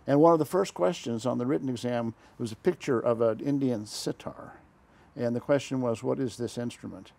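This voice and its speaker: An older man, a retired diplomat, recalling his career in a relaxed studio interview, halting often with uh and small self-corrections. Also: medium pitch, gravelly. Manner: rambling, halting, frequent uh and self-corrections, chuckles. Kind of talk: conversational storytelling interview. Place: small dark studio set, indoors. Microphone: lapel mic.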